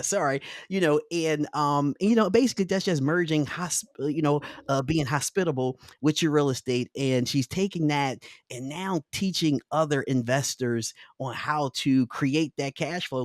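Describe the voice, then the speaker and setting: A man hosting a podcast, talking loosely with plenty of fillers and restarts. His voice sits a little high for a man. The sound is close and dry, with almost no room around it. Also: moderate pace, few pauses, no room sound. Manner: relaxed, chatty, many fillers and restarts, laughs easily. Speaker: podcast host. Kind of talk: casual interview chat.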